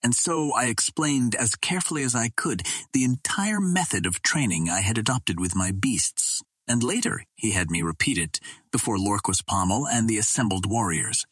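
A man narrates classic adventure fiction in a low voice with a husky, slightly rough grain. His delivery is measured and formal, like scripted literary storytelling, and the recording is close and dry. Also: animated, a bit bright, dry recording. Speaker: audiobook narrator.

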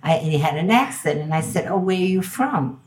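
A woman's voice: low voice, expressive, fairly quick, a little mellow. An older woman from Belgium with a New York accent, a guest telling a story in an interview and acting out the dialogue she quotes.

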